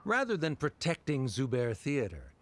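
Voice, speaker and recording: An actor playing a haughty, cold academy scholar in a video game debate, formal, pompous and condescending. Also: male voice, medium pitch, wildly swooping pitch, normal speed, a bit bright, slight background noise. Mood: haughty, accusing. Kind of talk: scripted video game voice acting.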